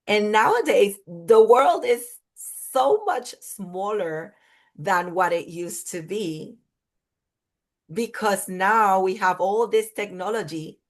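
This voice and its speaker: A woman's voice: mid-range voice, animated. A woman whose first language is Spanish, a clinical psychologist being interviewed on a video call, speaking in careful, list-like phrasing. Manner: slow, thoughtful, list-like phrasing. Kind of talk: interview conversation.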